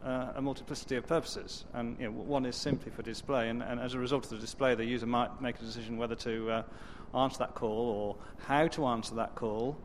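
A man's voice: medium pitch, fairly even intonation, fast talker, few pauses, in a large hall, slight background noise. A man answering a question at a technical meeting, stammering at the starts and working through examples. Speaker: co-author answering from the floor. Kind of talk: open-floor discussion.

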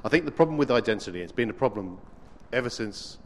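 A man raising a question from the floor at a technical meeting, halting and restarting his phrases. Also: medium pitch, animated, long reverb tail, a little hiss or hum. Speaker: meeting participant asking a question. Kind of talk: open-floor discussion.

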